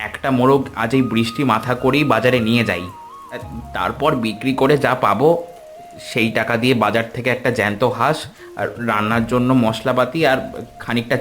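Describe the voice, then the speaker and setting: Earnest cartoon village father, man voicing, ordinary mid voice, soft music underneath. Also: mid-range voice, steady tone, a little fast, close to an everyday voice. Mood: wistful. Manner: earnest, musing aloud. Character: poor kind-hearted village father, earnest. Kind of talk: cartoon voice acting.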